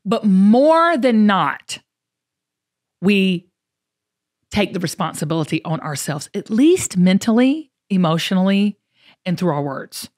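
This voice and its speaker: A woman's voice: mid-range voice, very animated, measured pace, long pauses, a little mellow. A woman with a Southern US accent hosting a motivational talk podcast, emphatic and folksy, repeating phrases to drive them home. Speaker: show host.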